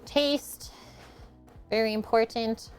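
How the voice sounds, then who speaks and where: A woman's voice: on the high side, fairly even intonation, slow pace, darkish tone, faint music behind. A woman hosting a casual home cooking livestream, chatting as she cooks and answering viewer comments. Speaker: cooking show host. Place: home kitchen.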